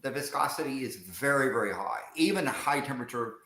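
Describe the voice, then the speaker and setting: A man chatting on a stream, lively in his explanations and often repeating words as he stumbles into a point. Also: medium pitch, fairly quick. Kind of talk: casual chat on a stream. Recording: echoey room with faint noise.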